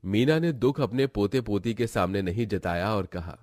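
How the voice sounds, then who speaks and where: A man's voice: fairly low pitch, lively intonation, moderate pace, darkish tone. A man narrating a scripted cartoon folk tale as storyteller.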